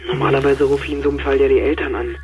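An actor playing a polite, urgent hospital nurse in a radio play, heard over a telephone. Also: male voice, mid-range voice, little pitch movement, rapid-fire. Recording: dull telephone sound, faint music.

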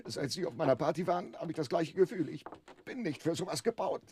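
An actor playing a timid, nervous man with a husky voice among hardened smugglers in a crime radio drama. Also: on the high side, lively intonation, fast, warm tone, a little hiss or hum, lightly acted. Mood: anxious, confessing.

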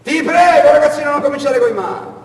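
Man playing a loud, bullying fat smuggler, shrill with scorn. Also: brisk. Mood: exasperated, mocking. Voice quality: hoarse with rage. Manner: sarcastic, hectoring, foul-mouthed. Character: fat, bullying, mocking male people-smuggler. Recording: echoey hall from far off, noisy.

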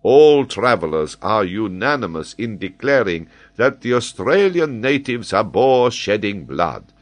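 A man voicing an excitable, learned French geographer, speaking eagerly and emphatically as he explains. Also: lowish voice, voice pushed up. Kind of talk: audiobook character voice.

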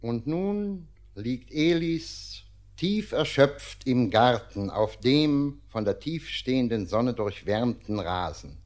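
Slow, measured male radio drama narrator. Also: medium pitch, expressive, a little mellow. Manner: measured, deliberate.

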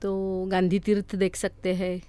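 A woman from Maharashtra with a Marathi accent, giving casual, somewhat halting answers as an interview guest. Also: mid-range voice, completely flat delivery, mellow, smooth, no room sound. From Maharashtra, India.

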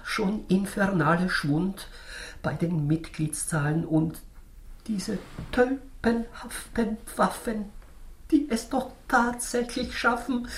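A grumbling, tippling parish priest, played by a woman, mutters a guilty confession. The delivery is slow and theatrical, in the rhymed verse of a radio play. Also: medium pitch, gravelly. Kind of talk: rhymed radio drama monologue.

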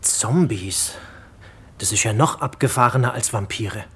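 A man playing a sceptical junior investigator in a radio drama, halting and informal. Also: mid-range voice, fairly bright tone, husky. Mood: incredulous. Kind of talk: modern German radio drama acting.

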